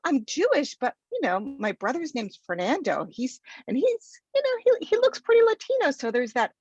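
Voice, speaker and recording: A woman's voice: sits high, sing-song, normal speed, darkish tone, dry recording. A woman from Argentina, a sociology professor, giving an informal lecture over a video call, talking casually with many ums and restarts. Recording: dull lo-fi video call audio.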